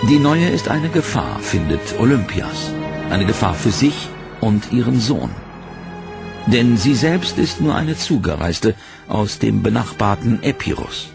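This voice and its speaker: A man narrating a history documentary from a script, in a measured, dramatic way. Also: lowish voice, sing-song, vocal fry, music in the background.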